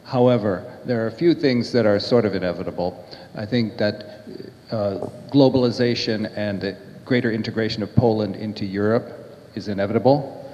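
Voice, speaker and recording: Measured middle-aged man, echoey dull recording. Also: fairly low pitch, a little mellow. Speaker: panelist, diplomat. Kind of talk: unscripted panel remarks. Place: panel table in brick-walled indoor hall. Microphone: handheld microphone. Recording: echoey hall, slightly dull sound.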